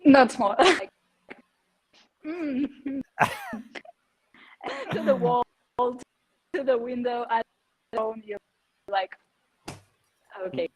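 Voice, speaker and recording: A woman's voice: fairly high pitch, animated, very slow, long pauses, slight background noise, rough recording. A woman taking part in an online lesson, hesitant and laughing as she talks. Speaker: learner participant.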